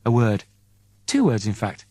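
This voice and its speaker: A man reading an audiobook as a dramatic, suspenseful narrator in deliberate short phrases. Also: lowish voice, wildly swooping pitch, dark tone, no echo.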